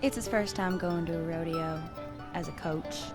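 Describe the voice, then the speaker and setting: An actress playing a protective, plain-spoken rodeo rider's sister with a stern, earnest delivery. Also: female voice, fairly low pitch, steady tone, moderate pace, music in the background, natural voice. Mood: caring. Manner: blunt, earnest. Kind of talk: radio play acting.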